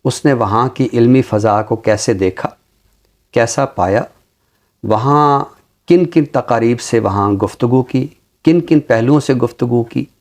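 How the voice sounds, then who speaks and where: A middle-aged man with a Pakistani accent speaks formally and deliberately about literature, repeating phrases for emphasis. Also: medium pitch, a little mellow. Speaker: literary show presenter and book reviewer. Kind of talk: measured literary talk with poetry recitation.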